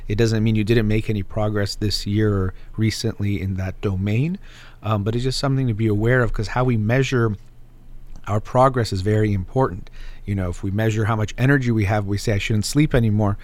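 Male advice host, slightly low voice, calm and reflective, explains things patiently. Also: a little fast. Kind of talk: advice talk with a caller.

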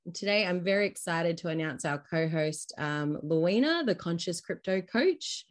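A woman's voice: fairly low pitch, animated, normal speed. A woman hosting a podcast interview, who keeps the guest talking with short encouraging replies. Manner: frequent short encouraging backchannels. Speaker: podcast host.

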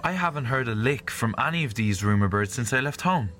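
A man's voice: mid-range voice, animated, fairly quick, fairly bright tone, soft background music, close to an everyday voice. A man playing a skeptical, blunt fantasy adventurer in an audio drama. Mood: dismissive.

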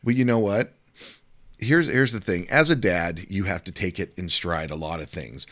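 Deep-voiced man telling dad stories. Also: normal speed. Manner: rambling, repeats words, animated when telling stories. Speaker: podcast co-host. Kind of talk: casual chat.

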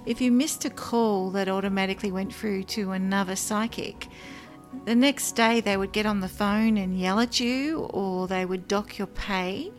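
A woman, a guest in an interview, telling of her past work in an earnest, measured way with frequent ums. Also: mid-range voice, soft background music. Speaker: former psychic line worker, guest. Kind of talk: interview, personal recollection.

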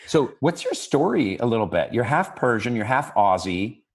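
A man hosting an interview in a casual, joking way with halting fillers. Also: medium pitch, sing-song, breathy edge. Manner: joking, hesitant, filler words. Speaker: host.

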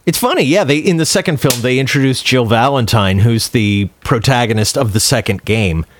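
A man's voice: mid-range voice, fairly quick, slight background noise. A man co-hosting a podcast, explaining things informally in a casual chat about movies and games. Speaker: podcast co-host.

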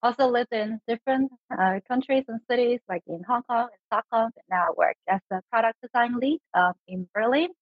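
A woman's voice: on the high side, a little fast, few pauses, no room sound, lo-fi sound. A woman from China speaking as a panel guest in a thoughtful, conversational way. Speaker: panel guest, product design lead. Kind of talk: reflective panel talk.

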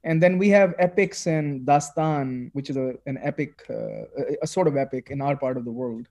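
A man from Pakistan, a guest author talking casually in an interview, animated and full of restarts. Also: medium pitch, moderate pace. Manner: animated, many restarts and fillers.

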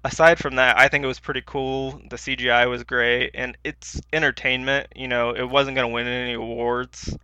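A man's voice: medium pitch, level tone, normal speed, hardly any pauses, bright, forceful tone, smooth, poor audio. A man co-hosting a podcast, chatting casually in a hesitant, rambling way. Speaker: podcast co-host. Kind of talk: casual chat about films.

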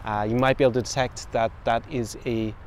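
A man's voice: mid-range voice, steady tone, fairly quick, slight background noise. A young man from Ireland with a faded, mixed Irish accent, talking casually as a podcast host, with frequent fillers and restarts. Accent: mixed, faded Irish with international influences. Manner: conversational, with frequent fillers and self-corrections. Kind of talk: casual talk to camera. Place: outdoors in a city street.

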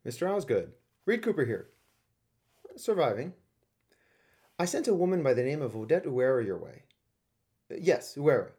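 A man's voice: mid-range voice, very animated, normal speed, long pauses, dark tone, breathy edge. A man acting in a radio play as a businesslike, wry government official helping an investigation. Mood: brisk, insistent.

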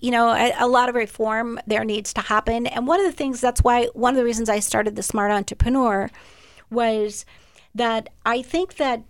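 Woman attorney guest, high voice, candid and self-mocking, dry studio sound. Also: no room sound. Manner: frank, laughs at herself, repeats words. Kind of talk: casual radio interview.

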